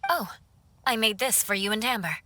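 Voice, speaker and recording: Poised, bright-toned young woman. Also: fairly high pitch, moderate pace, no room sound. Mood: composed, helpful. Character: poised, helpful, matter-of-fact young woman. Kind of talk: video game cutscene voice acting.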